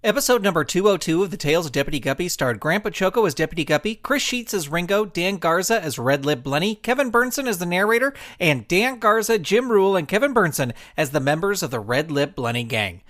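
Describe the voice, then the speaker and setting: A man's voice: high voice, expressive, fairly quick, hardly any pauses, a bit bright. A man working as a show announcer, reading scripted credits and promos in an upbeat way aimed at young listeners.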